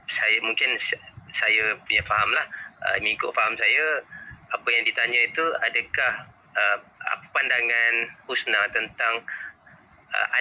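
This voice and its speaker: A man's voice: mid-range voice, pushed and bright, slight background noise, low-quality recording. A man from Malaysia with a Malaysian accent, hosting a casual online interview and searching for his words. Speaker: interviewer and host.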